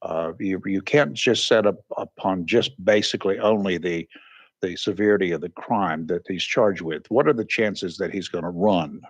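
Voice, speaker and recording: A man's voice: low voice, normal speed, no echo. A man hosting a local radio talk show. He rambles folksily with frequent restarts and sounds congested. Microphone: studio microphone.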